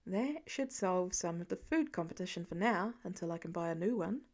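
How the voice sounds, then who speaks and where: A woman voicing a calm, soothing father with gentle dry humour in a storybook reading. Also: lowish voice, a little fast, pitched below the performer's own voice. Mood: calm, reasoning.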